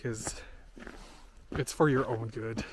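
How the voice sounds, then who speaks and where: A man chatting casually to a handheld camera on an outdoor trail, in an informal, halting, encouraging way. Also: mid-range voice, steady tone. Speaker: hiking vlogger. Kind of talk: casual vlog chat to camera. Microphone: handheld pocket camera. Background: background music.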